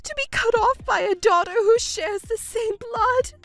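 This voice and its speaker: Actress as a selfish, self-pitying middle-aged stepmother, high and shrill, audio drama. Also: sing-song, moderate pace, faint music behind. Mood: wailing. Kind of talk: melodramatic audio drama acting.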